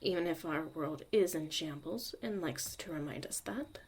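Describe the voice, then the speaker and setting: Woman acting a guarded young mage lady in asmr roleplay, lowish soft voice, brusque but caring, ambient noise behind her. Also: normal speed. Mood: calm, soothing. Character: gruff, guarded young woman mage in zombie apocalypse, secretly caring mentor.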